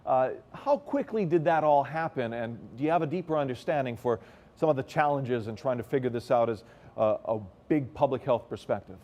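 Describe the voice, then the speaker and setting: Male news anchor, measured and conversational. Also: medium pitch, expressive, dark tone. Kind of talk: news interview.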